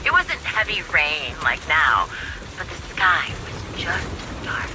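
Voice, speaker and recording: A woman's voice: lowish voice, huge pitch swings, slow pace, noisy background, full character voice. An actress playing a sadistic, gloating female sniper villain in a video game, mocking and theatrical in her menace. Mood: menacing, mocking. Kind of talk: English game voice acting. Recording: loud music behind the voice. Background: loud game music.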